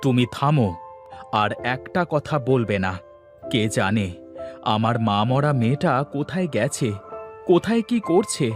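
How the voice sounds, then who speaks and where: Brief: man playing an upset cartoon father, stern, lively intonation. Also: medium pitch, unhurried, long pauses, music in the background, close to an everyday voice. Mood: angry. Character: worried, angry village father.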